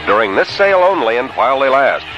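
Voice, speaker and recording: A man's voice: mid-range voice, sing-song, fast talker. An American man reading a hard-sell car dealer commercial as a radio announcer. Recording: dull old radio advert with music.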